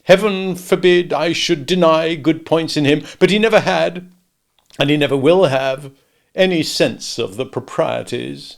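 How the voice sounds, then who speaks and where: A man voicing a pompous, sardonic middle-aged gentleman in a storybook reading. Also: fairly high pitch, a little slow, gravelly, pitched above the performer's own voice.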